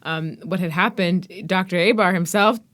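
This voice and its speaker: A woman hosting a podcast interview, talking in a relaxed conversational way with a few "um"s. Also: lowish voice, moderate pace. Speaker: podcast host. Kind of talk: casual interview chat.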